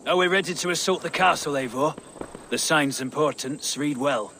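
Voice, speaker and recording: Firm, commanding war leader. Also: male voice, on the high side, normal speed, pushed and bright, a little hiss or hum. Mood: urgent. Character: cautious, plain-spoken war leader of an army. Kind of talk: video game cutscene acting.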